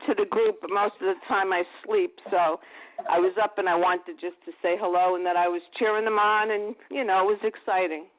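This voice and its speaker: A woman's voice: medium pitch, steady tone, fairly quick. A woman with a New Jersey accent calling in to a talk show, friendly and chatty. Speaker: caller. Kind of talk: casual chat. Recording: phone-like call audio.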